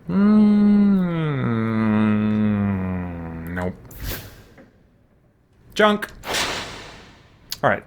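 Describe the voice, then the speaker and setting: A man's voice: on the low side, big pitch swings, extremely slow, long pauses, narrow, tinny audio, slight background noise. A man giving casual video game commentary, muttering to himself as he decides and breaking into playful theatrical roleplay. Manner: muttering deliberation to himself, slipping into theatrical exclamations. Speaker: video game let's player. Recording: loud game music behind the voice. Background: loud video game music.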